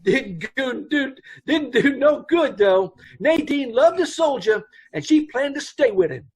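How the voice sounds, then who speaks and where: Casting: a man doing a fretful middle-aged dance hall boss, high and lively pitch, rambling and ungrammatical, radio play. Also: a little slow, breathy edge, full character voice. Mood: anxious. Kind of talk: old-time radio drama recreation.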